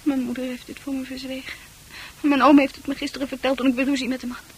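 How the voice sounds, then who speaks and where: Casting: dreamy, troubled young female confidante for Dutch radio drama, high-pitched, poetic and earnest. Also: flat delivery, fast talker, darkish tone, slightly rough, exaggerated voice. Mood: distressed, insistent. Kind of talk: Dutch radio play acting.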